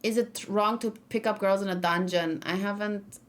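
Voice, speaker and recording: Young female streamer, casual reaction chat, talks things through aloud, mid-pitched. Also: fairly even intonation, moderate pace. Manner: chatty, thinks aloud, indecisive, reads chat messages. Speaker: streamer reacting to game trailers.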